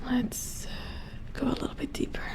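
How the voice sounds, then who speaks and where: A woman playing a calm, methodical robot repair engineer in a soft-spoken ASMR roleplay, murmuring with concentration close to the microphone. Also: moderate pace, lightly acted. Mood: calm, focused.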